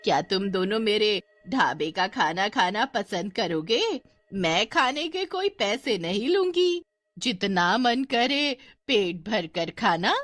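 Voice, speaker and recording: A woman putting on a sly old woman who lures travellers in with food, sweet-talking and stretching her words. Her pitch rises and falls in a lively sing-song way. Faint music plays behind. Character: sly old woman cook, secretly a witch.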